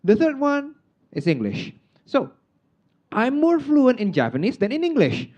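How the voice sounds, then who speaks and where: Chatty Indonesian man with a lively voice. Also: very high-pitched, measured pace. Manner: chatty and self-mocking, with many filler "like"s. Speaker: outgoing club president giving a speech. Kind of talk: informal speech at a club meeting. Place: meeting room, speaking on stage. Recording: dull, muffled lo-fi recording.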